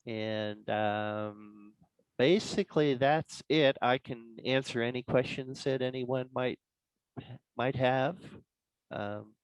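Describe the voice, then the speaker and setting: A man giving an informal, explanatory presentation as a guest speaker on an online call, in a halting way. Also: mid-range voice, clear, resonant tone, no echo, poor audio. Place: online club meeting.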